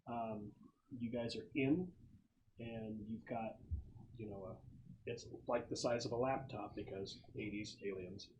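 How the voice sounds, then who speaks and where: A man acting as game master, casually narrating a tabletop game in a halting, explanatory way. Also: lowish voice, darkish tone. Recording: dull lo-fi recording with clear noise.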